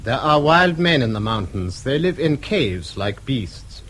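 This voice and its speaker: An actor playing a courtly, faintly ominous Tyrolean official in a radio drama, formal in manner. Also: male voice, medium pitch, very animated, fairly bright tone, a little hiss or hum. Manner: formal, measured storytelling. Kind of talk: BBC radio drama acting.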